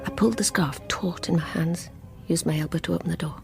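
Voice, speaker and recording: A woman's voice: fairly low pitch, steady tone, fairly quick, creaky, over music. An actress playing a haunted, bitter, unstable woman in a radio play, with a brooding, intense delivery. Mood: menacing, hushed. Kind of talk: radio play acting, dramatic monologue.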